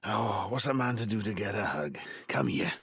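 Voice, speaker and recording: Gravelly old Valleys patriarch. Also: male voice, fairly low pitch, animated, normal speed, fairly bright tone, no echo, clean studio sound, full character voice. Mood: jovial. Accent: South Wales Valleys. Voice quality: gravelly, husky. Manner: jokey, chuckling, effusive. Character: ageing Welsh father, jovial rogue seeking to make amends. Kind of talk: naturalistic audio drama acting.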